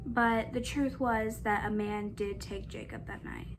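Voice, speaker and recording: A woman's voice: a little high, steady tone, a little slow, slight background noise, low-quality recording. A woman casually narrating a true crime case for her vlog, with an occasional um. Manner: plain retelling with frequent ums.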